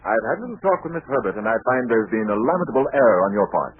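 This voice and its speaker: An actor playing a pedantic, politely exasperated professor with formal, hesitant speech in a 1940s radio comedy. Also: male voice, lowish voice, sing-song, racing, breathy edge. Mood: prim, polite. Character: stuffy, bookish zoology professor, politely exasperated. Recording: muffled 1940s radio recording.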